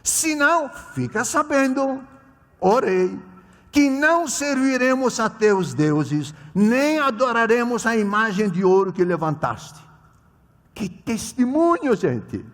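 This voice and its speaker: Older pastor preaching in a reverberant hall. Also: male voice, very high voice, sing-song, slow pace. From immigrant to Brazil. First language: not Portuguese. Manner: dramatic, swings between loud proclamation and quiet storytelling. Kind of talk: sermon with personal story.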